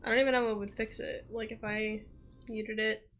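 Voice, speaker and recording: A woman's voice: on the high side, level tone, moderate pace, a bit bright, lo-fi sound, slight background noise. A woman chatting casually as she livestreams a video game. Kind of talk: casual chat while streaming. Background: faint game music.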